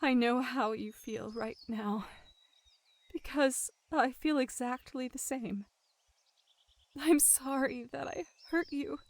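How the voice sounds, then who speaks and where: A dying mother in a dramatic game scene. Her voice sits fairly high and moves very slowly. She is gentle, selfless and soothing, full of tender care. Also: dry recording. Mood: tender, sorrowful. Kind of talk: emotional dramatic game voice acting.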